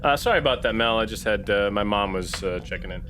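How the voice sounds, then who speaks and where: A man's voice: lowish voice, quick pace, noisy background. A man playing a captain in a tabletop game, switching between improvised role-play and casual chat, hesitant and often correcting himself.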